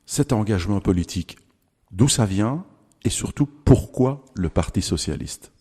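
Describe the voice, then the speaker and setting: A man's voice: fairly low pitch, expressive, unhurried, warm tone, slightly rough, long reverb tail. A man hosting a formal radio interview, announcing the guest's name and rank before each question.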